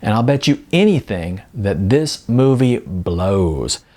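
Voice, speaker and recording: Casual young store clerk, snarky and chatty, done by a guy reading, low voice that swings around a lot. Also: sing-song, slow, mellow, heavily acted. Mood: dismissive. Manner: offhand, chatty, dismissive. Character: young male video store clerk, blunt and wisecracking. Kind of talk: audiobook character voice.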